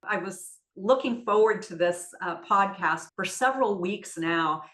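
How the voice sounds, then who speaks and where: A woman's voice: mid-range voice, unhurried, fairly bright tone. Woman podcast host interviewing a guest. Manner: reads a formal introduction, then asks questions. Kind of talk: interview introduction and questions.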